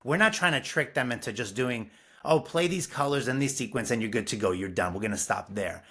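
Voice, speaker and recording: Bright-voiced New man, animated. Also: medium pitch, fairly quick. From Brooklyn, New York. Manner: earnest, animated storytelling. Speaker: music curriculum founder, guest. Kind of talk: casual interview talk.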